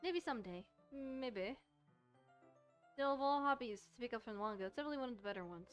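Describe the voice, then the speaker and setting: A woman with a fairly high voice plays and reads a visual novel for viewers. She is playful and rambles casually, with music behind her. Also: expressive, fairly quick. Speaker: streamer playing and reading a visual novel. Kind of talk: casual let's-play chat and read-aloud.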